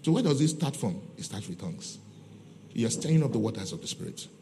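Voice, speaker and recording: A man preaches with a Nigerian accent in a mellow, mid-pitched voice that rises and falls freely. He teaches emphatically, repeating key phrases and posing questions that he answers himself. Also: sing-song, fast, slight background noise. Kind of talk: teaching sermon with scripture readings and questions to listeners.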